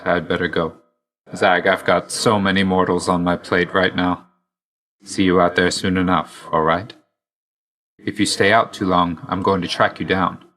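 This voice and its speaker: An actor playing a reserved, dutiful god of death in a video game, speaking in a measured, formal, understated way. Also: male voice, sits low, fairly bright tone. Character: male god of death, reserved, dutiful, weary, quietly fond. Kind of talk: video game character voice lines.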